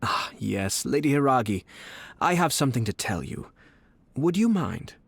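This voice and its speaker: An actor playing a poised young male clan commissioner in a game, speaking in a smooth, measured, politely threatening way. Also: medium pitch, very animated, dry recording, heavily acted. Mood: courteous. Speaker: game character. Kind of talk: game voice acting.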